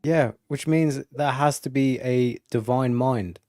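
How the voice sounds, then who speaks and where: A man with a medium-pitched voice debates casually on a livestream, earnest and argumentative. The sound is dry, without any room around it. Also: slow pace, a little mellow, no echo. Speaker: livestream guest.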